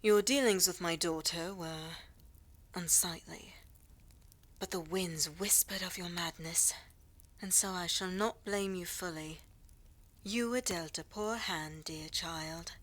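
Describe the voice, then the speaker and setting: A woman's voice: lowish voice, slow. A woman playing a stern yet merciful mystical queen mother in an audio drama, speaking in solemn, formal pronouncements. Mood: solemn, forgiving. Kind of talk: audio roleplay drama acting.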